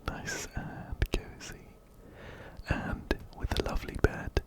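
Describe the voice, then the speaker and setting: A man narrating a video game in a slow, breathy ASMR whisper, very close to a binaural mic, softly repeating words. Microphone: close-up binaural microphone, ear to ear.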